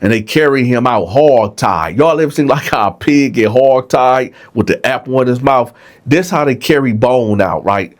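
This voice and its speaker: A man's voice: medium pitch, expressive, normal speed. A man with a congested, stuffed-up nose hosting his channel, telling a story casually to viewers and checking in with "right?". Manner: conversational, keeps asking "right?". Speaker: vlog host.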